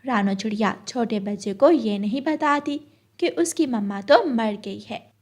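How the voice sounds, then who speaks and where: A woman's voice: fairly high pitch, measured pace, a little mellow. A woman reading scripted, storybook-style narration for a cartoon.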